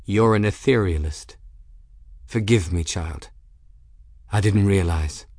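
A narrator voicing a courteous, calm grown man in an audiobook, speaking gently and formally. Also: no echo. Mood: apologetic, soft. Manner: low, gentle, formal and halting. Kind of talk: audiobook character dialogue.

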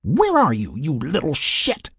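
A man's voice: fairly high pitch, wildly swooping pitch, slow pace, a little mellow, breathy edge, dry recording, clean studio sound, voice pushed up, heavily acted. A man voicing a playful, bossy, tipsy young woman with a drunken lilt in an audiobook story. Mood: indignant, demanding. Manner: drunken lilt, teasing, exclaiming.

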